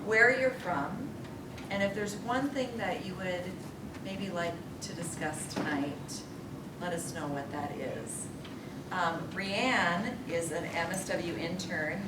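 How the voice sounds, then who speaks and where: A woman leading an informal community talk, friendly and drawing the audience into discussion. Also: on the low side. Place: hall with a live audience. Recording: echoey room, distant mic, loud hiss.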